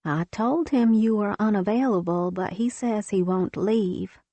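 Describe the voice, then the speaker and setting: Woman doing a meek young housemaid, worried, slow and soft. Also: medium pitch, no room sound. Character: worried young maid, polite and timid.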